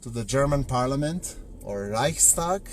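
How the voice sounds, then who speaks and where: A man's voice: mid-range voice, expressive, measured pace. A Slovenian man with a Slovenian accent guiding a city tour from a car, chatty and loose, with many fillers and hesitations. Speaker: tour guide. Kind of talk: live-streamed driving city tour. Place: inside a car, later outdoors on a city street. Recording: road and traffic noise.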